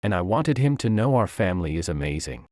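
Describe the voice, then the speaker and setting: A cheerful, frank little girl from an audio story, read by a man in his own low register. The delivery is innocent and proud, with lively intonation and a dry sound. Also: fairly quick, no echo. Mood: innocently proud. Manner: innocent, forthright.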